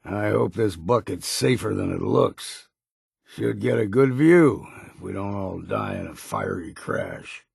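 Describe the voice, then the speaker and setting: Gravelly, raspy old sailor. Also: male voice, on the low side, big pitch swings, a little slow, darkish tone, dry recording, studio quality, heavily acted. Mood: wry caution. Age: older. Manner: folksy, rustic turns of phrase, dropped grammar. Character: gruff, folksy, weathered old sailor, plain-spoken and principled. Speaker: video game companion character. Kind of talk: video game voice lines.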